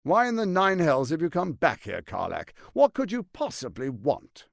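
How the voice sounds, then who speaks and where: An actor voicing a scheming, smug tyrant lord in a video game, speaking in a pompous, silky, menacing and theatrically emphatic way with a gravelly edge. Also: male voice, a little high, wildly swooping pitch, normal speed, no room sound, studio quality, full character voice. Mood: calculating. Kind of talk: dramatic video game voice acting.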